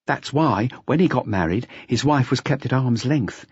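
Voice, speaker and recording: A man's voice: medium pitch, expressive, moderate pace, darkish tone, slightly rough, dry recording, highs cut off, voice pushed up. A man voicing a wary local informant passing on gossip in a detective audiobook.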